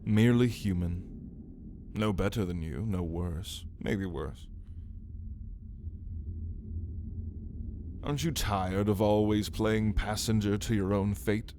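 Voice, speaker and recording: A man's voice: lowish voice, steady tone, measured pace, a little mellow, a little hiss or hum, lightly acted. A man playing a brooding, menacing caged vampire in an ASMR roleplay, speaking in a theatrical, taunting way with archaic wording. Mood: goading. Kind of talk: ASMR roleplay, dramatic monologue to listener.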